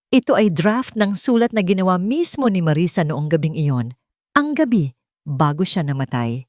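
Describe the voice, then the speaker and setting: A woman's voice: fairly low pitch, a little mellow, dry recording, studio quality. A Filipino woman narrating a scripted love story as a dramatic storyteller.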